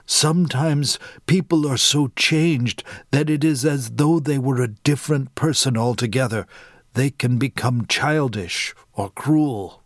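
A man voicing a calm, blunt adult male healer who explains things firmly. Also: medium pitch, unhurried, no room sound, clean studio sound, lightly acted. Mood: grave. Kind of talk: audiobook character reading.

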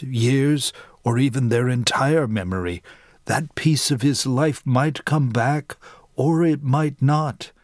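A man voicing a calm, blunt adult male healer who explains things firmly. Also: medium pitch, no room sound, studio quality, close to an everyday voice. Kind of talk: audiobook character reading.

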